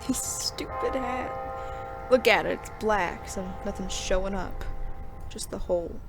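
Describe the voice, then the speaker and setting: A woman playing a weary, gruff young male sheriff in a Western audio drama, with a folksy, sardonic drawl. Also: medium pitch, measured pace, natural voice. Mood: shaken, urgent. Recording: loud guitar music behind.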